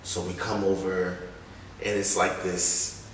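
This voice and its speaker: A young man with a very low voice tells stories casually, full of slang and replayed bits of conversation. He sounds like a rapper in an interview, picked up from a distance in a very echoey room. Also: completely flat delivery, slow, fairly bright tone. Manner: slangy, acts out remembered dialogue. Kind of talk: casual anecdotal interview talk. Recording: echoey room, distant mic, faint noise.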